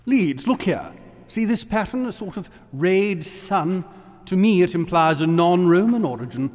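Learned archaeologist, assured, didactic, fairly high voice, long vault reverb, radio horror play. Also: male voice, expressive, a little slow, darkish tone, soft background music. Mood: absorbed, certain. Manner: precise, didactic. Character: learned, assured British archaeologist examining ancient altars. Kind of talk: radio horror drama acting. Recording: echoey stone vault reverb.

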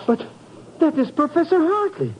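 A bewildered, grumbling little sidekick. His high voice swings widely in pitch, in old radio drama style, on a muffled, noisy recording. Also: huge pitch swings, normal speed, heavily acted. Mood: nervous, puzzled. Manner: querulous, bewildered, broken grammar. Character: jittery, grumbling, slow-witted male sidekick to a spy. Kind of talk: 1950s radio comedy-drama acting.

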